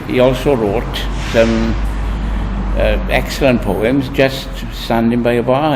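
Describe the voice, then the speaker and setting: A man answering an interview question in a thoughtful, hesitant way, with frequent pauses. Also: mid-range voice. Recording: faint noise with long reverb.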